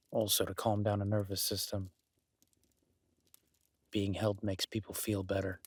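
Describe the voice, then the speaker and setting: A man playing a solitary, kindly cabin dweller and former medic in an intimate audio roleplay, speaking haltingly with dry teasing and reassurance. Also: fairly low pitch, sharp tone, husky, no room sound, exaggerated voice. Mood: calm, caring. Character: gruff-kind solitary cabin-dwelling former EMT, awkward, teasing, protective.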